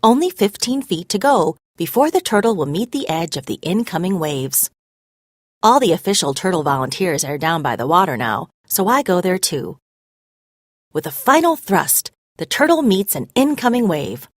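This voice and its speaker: Woman doing read-aloud narration, slightly low pitch, very expressive, clear phrasing, no room sound. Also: normal speed, dry recording, studio quality. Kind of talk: scripted storybook narration.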